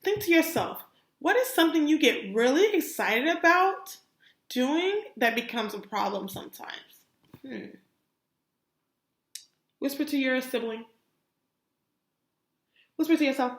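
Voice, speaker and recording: A woman's voice: high-pitched, lively intonation, a little slow, pauses often, echoey room. A woman teacher reading a children's story aloud expressively, stopping to put questions to young listeners. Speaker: kindergarten teacher.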